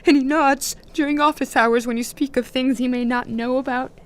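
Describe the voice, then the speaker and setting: Radio play role: actress as distraught young female academic, high voice, insistent, broken phrasing, haunted by a man. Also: steady tone, normal speed, natural voice. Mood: bitter, insistent. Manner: emotional, insistent, fragmented phrases. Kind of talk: audio drama acting.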